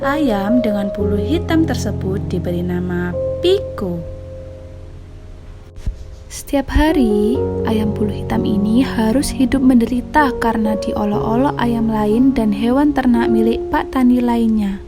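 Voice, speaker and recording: A woman's voice: fairly high pitch, a little slow, over loud music. A young adult woman narrating a children's story. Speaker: storyteller, university student.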